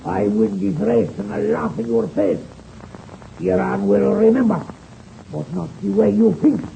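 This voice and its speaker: An actor in an old-time radio serial playing a proud, hot-tempered Russian pilot who speaks defiant, boastful broken English with a Russian accent. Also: male voice, high-pitched, unhurried, creaky, mellow, exaggerated voice. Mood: indignant, threatening. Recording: muffled old radio recording, faint noise.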